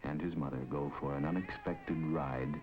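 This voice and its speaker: A man giving measured, authoritative scripted narration for a 1950s documentary film. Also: rumbling low, steady tone. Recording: dull 1950s film soundtrack with music.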